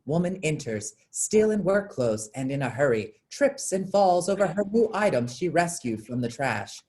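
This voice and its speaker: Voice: medium pitch, sing-song, moderate pace, a little mellow. A narrator reading scripted stage directions for a staged play reading.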